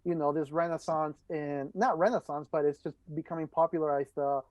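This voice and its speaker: A man's voice: fairly high pitch, fairly even intonation, brisk, a little mellow, dry recording. A man from El Salvador, talking casually as the host of an interview podcast. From El Salvador, moved to the United States as a child. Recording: dull, dry lo-fi microphone.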